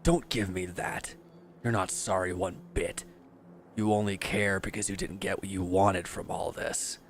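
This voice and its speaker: A man's voice: low-pitched, steady tone, unhurried, a little hiss or hum. A man playing a bitter, wounded villain who is grieving and accusing, speaking with weary sarcasm in a scripted audio roleplay addressed to the listener.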